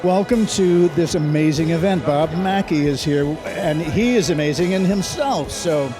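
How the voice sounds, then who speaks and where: Gushing higher-voiced male interviewer. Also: normal speed. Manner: gushing, complimentary. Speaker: red carpet interviewer. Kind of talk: event interview chat. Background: music.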